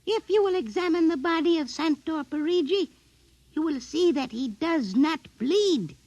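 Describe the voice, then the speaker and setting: An actress in a 1950s radio drama voicing a tiny alien doll commander, haughty, precise and coldly menacing. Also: female voice, very high-pitched, animated, moderate pace, a little mellow, no echo, muffled recording. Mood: cold, imperious.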